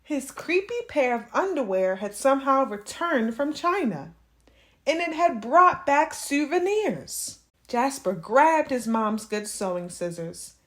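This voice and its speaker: High, animated woman reading a storybook. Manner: dramatic, drawn-out emphasis on key words. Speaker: children's read-aloud host. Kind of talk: expressive storybook narration for children.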